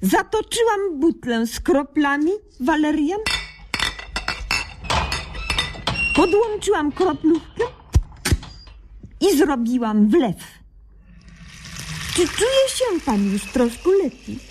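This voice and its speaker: A woman playing an earnest, naive young doctor in a radio comedy sketch, deadpan in her quaintly mangled officialese. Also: sits high, takes it slowly, long pauses, noisy background, heavily acted. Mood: solemn narrating.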